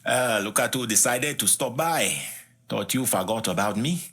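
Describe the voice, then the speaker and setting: An actor playing a weary, hardened Haitian gang boss in a video game, with a husky voice, a Haitian accent and a grave, measured delivery. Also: male voice, medium pitch, animated, noisy background, lightly acted. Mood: wry, guarded. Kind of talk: video game cutscene acting.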